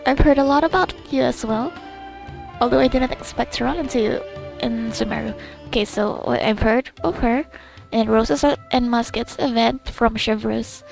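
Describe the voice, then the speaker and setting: A woman's voice: on the high side, moderate pace, gravelly, rough recording. A woman giving casual let's play commentary, reacting to a game story in a giggly, gushing, think-aloud way. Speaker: gamer reacting to a story quest. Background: faint game music.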